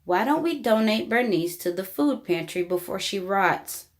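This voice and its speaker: A woman voicing a practical father in a storybook who gently reasons and coaxes. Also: medium pitch, animated, normal speed, close to an everyday voice. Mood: concerned.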